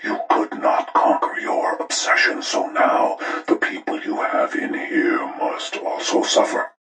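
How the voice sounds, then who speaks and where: Menacing older game villain, extremely low pitch, gravelly breathy rasp, deliberate, cold, moralizing, echoey recording. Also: male voice, fairly bright tone, heavily acted. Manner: deliberate, ominous, coldly moralizing. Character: cold, menacing older male serial killer mastermind setting deadly games. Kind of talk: sinister villain monologue for a horror video game.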